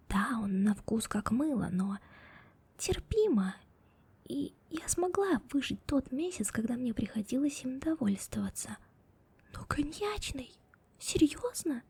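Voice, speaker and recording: Woman playing a strict office boss, ASMR roleplay, soft close whisper, very airy voice, sarcastic. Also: fairly high pitch, slightly rough, exaggerated voice. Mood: chatty, wry. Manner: scolding and sarcastic to staff. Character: stern sharp-tongued female office boss.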